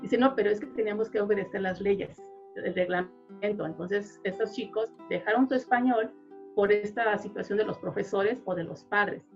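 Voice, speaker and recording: A woman's voice: medium pitch, fairly even intonation, moderate pace, dull audio, soft background music. A woman teacher speaking conversationally at an academic panel, explaining things through personal anecdotes. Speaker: Spanish teacher.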